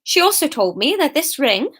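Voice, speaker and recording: A narrator plays a scorned Welsh shop worker in an audiobook. She is fierce and wounded, with a high-pitched female voice. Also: sing-song, moderate pace, fairly bright tone. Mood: angry, cold. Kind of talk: audiobook character dialogue.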